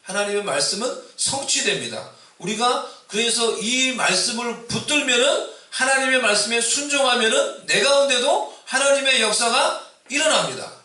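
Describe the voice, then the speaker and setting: Bright-voiced pastor preaching in an echoey hall. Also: male voice, expressive, moderate pace. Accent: Seoul Korean with a mix of Busan dialect. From Seoul, Korea. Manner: rises loud and high on exhortations, asks the congregation questions. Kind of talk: Easter sermon. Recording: echoey hall, distant mic.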